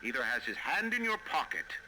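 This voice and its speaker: A man's voice: mid-range voice, big pitch swings, brisk, pushed and bright, slight background noise, heavily acted, low-quality recording. A man playing a proud, commanding industrialist who delivers a grandiose, emphatic speech in a video game. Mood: fervent conviction. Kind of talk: recorded political oration in a video game.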